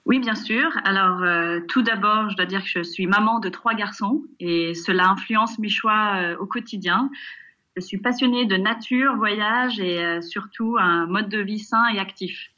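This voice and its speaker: Bright-voiced woman on a dull internet call. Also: mid-range voice, measured pace. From France, raised in Nigeria. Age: 40s. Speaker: interview guest, family business executive. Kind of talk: conversational interview answers. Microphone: video call.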